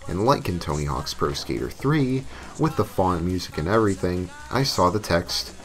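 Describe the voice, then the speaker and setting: A man's voice: deep voice, lively intonation, normal speed. A man narrating a scripted comic horror story in a theatrical way, with emphatic delivery. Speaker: creepypasta narrator. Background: music.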